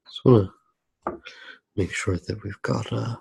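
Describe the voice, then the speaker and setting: Slow, low, creaky ASMR man. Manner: soft-spoken, hesitant, pausing mid-sentence. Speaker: ASMR art video host. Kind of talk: ASMR, narrating a drawing.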